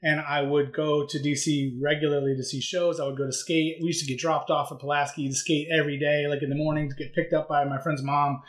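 A man's voice: a little high, flat delivery, fairly quick, few pauses, roomy sound. A man in his forties from the DC area, telling rambling, nostalgic stories in a casual chat. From Maryland and Virginia, near DC. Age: 40s. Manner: rambling, nostalgic, restarts often. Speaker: skate shop owner, guest.

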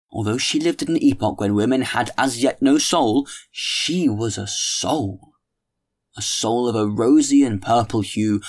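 A showy young wit holds court over wine, as a gravelly-voiced man reads him aloud. His lines swing high and loud, then slow right down for solemn effect. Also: full character voice. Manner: grandiloquent, theatrical, mock-solemn. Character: witty, showy young man holding forth at dinner.

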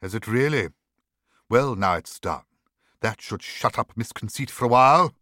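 A man's voice: mid-range voice, lively intonation, normal speed, pushed and bright, dry recording, clean studio sound. A man playing a weary, dismissive headmaster in a children's audiobook, with clipped, fussy authority. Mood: relieved, weary. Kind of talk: children's audiobook character acting.

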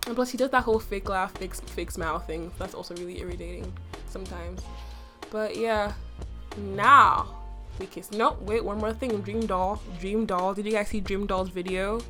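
A young adult woman hosting a casual solo podcast, her voice slightly raspy from a cough, chatty and full of fillers with playful boasting. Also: medium pitch, normal speed, bright, forceful tone, faint music behind. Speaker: podcast host.

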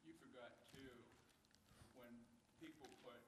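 A man's voice: mid-range voice, steady tone, measured pace, slightly rough. A man in a class audience making an offhand remark from far away. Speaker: class member. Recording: distant, with long hall reverb and faint noise.

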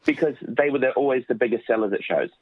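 A man's voice: mid-range voice, fast, soft background music. A man, a children's musician, chatting casually in an interview with many restarts, from his home office. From New Zealand. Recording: dry phone-like line.

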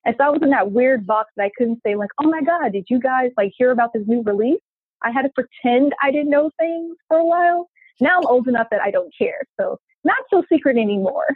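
A woman's voice: high voice, animated, a little fast, husky, dry recording. Woman engineer on a tinny phone line. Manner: earnest, passionate, quotes people in voices. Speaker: guest engineer. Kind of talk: casual interview talk.

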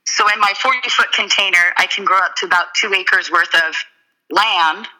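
A woman, the guest, explaining her urban farm in a casual interview, steady with frequent ums. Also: medium pitch, moderate pace, rough recording.

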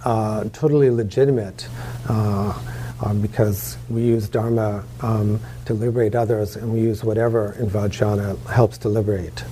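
A man introducing a guest speaker at a temple hall, talking in a halting way with many pauses. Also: fairly low pitch, mellow, a little hiss or hum. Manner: halting, full of fillers and restarts. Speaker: host teacher.

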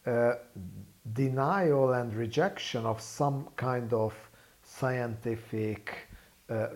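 Slow, halting man with Hungarian native tongue. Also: medium pitch, darkish tone. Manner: measured, halting with many fillers. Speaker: guest author. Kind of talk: podcast interview.

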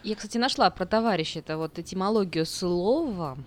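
Woman cohost on a radio show, chatty, reads out definitions, mid pitch voice. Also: expressive, brisk. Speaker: radio co-host. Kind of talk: casual radio chat.

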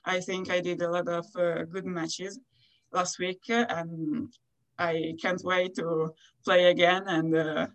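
A young Italian woman speaks in a fairly low voice with a clear Italian accent. She is an athlete giving interview answers, often halting to find the right word in a language that is not her first. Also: little pitch movement. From Italy. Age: young adult. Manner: halting, searching for words. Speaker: college tennis player being interviewed.

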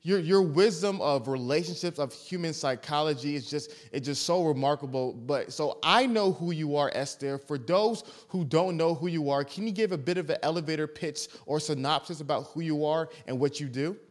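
A young man hosting a podcast, giving an excited, gushing introduction with stammered restarts. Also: fairly high pitch, moderate pace, few pauses. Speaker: podcast host.

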